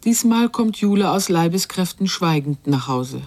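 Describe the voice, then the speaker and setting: An actress as the observant narrator of a literary radio drama, telling a child's story in a measured way. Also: female voice, lowish voice, animated, a little mellow.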